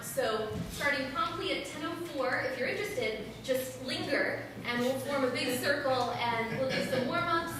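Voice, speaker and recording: A woman's voice: high-pitched, noisy background. A woman hosting an improv theater night, giving chatty, upbeat announcements full of ums. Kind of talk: stage announcements. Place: small theater. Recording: echoey theater from far off.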